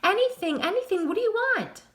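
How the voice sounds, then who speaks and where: A woman voicing a thin, cowardly male deputy with a whiny, high-pitched voice. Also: expressive, fairly quick, fairly bright tone. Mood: pleading. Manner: whiny, panicky, pleading.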